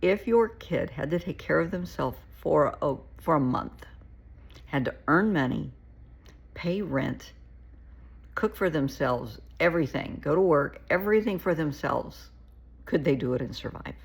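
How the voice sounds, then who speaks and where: Woman, therapist, gravelly, raspy, coming down with a cold, earnest, pleading. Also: deep voice, lively intonation, a little slow. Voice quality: gravelly, shaky voice. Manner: earnest, pleading, repeats herself. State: getting a cold. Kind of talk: casual advice to camera.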